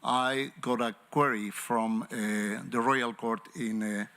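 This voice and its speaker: A man telling a story on a summit panel in a measured way with pauses, in a conference room. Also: mid-range voice, fairly even intonation, pushed and bright, smooth. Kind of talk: panel discussion answer.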